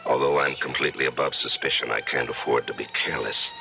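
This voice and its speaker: An actor playing a composed, calculating murderous judge in old-time radio drama style, theatrical and authoritative. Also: male voice, extremely low pitch, steady tone, fast, vocal fry, over loud music. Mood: secretive, brooding. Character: secretly murderous male judge, composed, calculating, self-satisfied.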